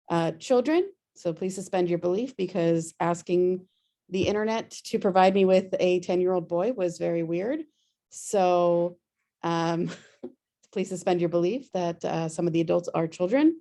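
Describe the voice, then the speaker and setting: A woman's voice: fairly low pitch, dark tone. A woman hosting a table read as its writer, introducing it informally and reading out stage directions.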